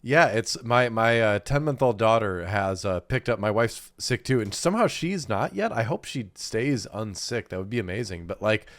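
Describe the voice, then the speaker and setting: A man's voice: fairly low pitch, lively intonation, normal speed, few pauses, no echo. A man in his thirties co-hosting a casual podcast, nasal and congested from a cold, rambling with fillers and laughs. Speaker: podcast co-host. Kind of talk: casual chat.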